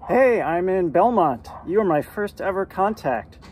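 A middle-aged American man making amateur radio calls and talking casually to camera outdoors, spelling out call signs deliberately. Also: sits high, lively intonation, darkish tone. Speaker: amateur radio beginner, vlogger. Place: outdoors in a yard and porch. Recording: outdoor background noise.

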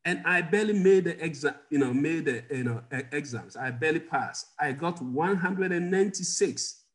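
A man's voice: a little high, lively intonation, normal speed. A middle-aged man from Ghana, a guest doctor telling personal stories in an interview over a video call from a home office. He chuckles often and puts questions to his audience.

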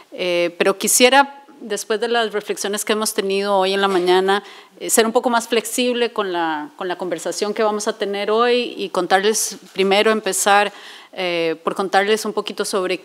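A woman in her forties from Rica, giving a public lecture at a table in a conference hall. She speaks in a measured way, with frequent "eh" fillers and self-corrections. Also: mid-range voice. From Costa Rica. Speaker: invited speaker. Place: conference hall with seated audience.

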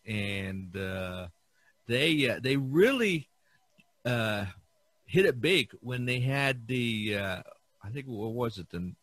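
A man hosting an informal art discussion, speaking haltingly and often repeating his words. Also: medium pitch, a bit bright, dry recording. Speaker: podcast host.

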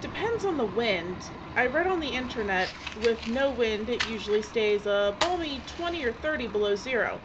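A woman's voice: a little high, sing-song, moderate pace, hardly any pauses. A woman playing a bossy, irritable film director in an audio drama, curt and sarcastic. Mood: dry, irritated. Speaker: actor in radio drama. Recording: muffled, clear background noise.

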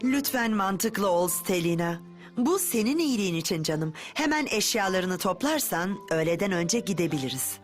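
A woman's voice: medium pitch, lively intonation, normal speed, faint music behind, close to an everyday voice. A woman playing a polite but firm social services officer in a dubbed cartoon, formal, placating and insistent. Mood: coaxing.

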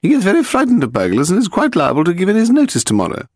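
A man's voice: high-pitched, wildly swooping pitch, racing, no room sound, studio quality, voice pushed up, full character voice. A man voicing a haughty, amused older aristocratic lady in an audiobook, with a theatrical, condescending manner. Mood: suspicious, arch.

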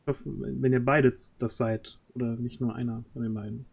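A man in his thirties chats casually as a stream host. His voice is soft-edged and mellow at an ordinary pitch, and he often stops to search for words. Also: little pitch movement, moderate pace, vocal fry, slightly rough. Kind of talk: casual livestream chat. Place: indoor home streaming setup. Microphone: close studio microphone on arm.

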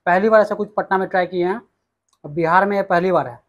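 A man's voice: sits high, fast talker, muffled recording. A young man from Bihar hosting a casual food vlog, talking straight to viewers as he tastes dishes and recommends them. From Bihar, India. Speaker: food vlogger. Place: small indoor restaurant dining room.

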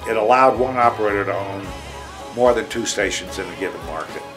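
Man in his sixties, low pitch, interview, music behind. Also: fairly quick, poor audio. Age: 60s. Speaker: radio industry veteran. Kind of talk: documentary interview. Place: indoors, office.

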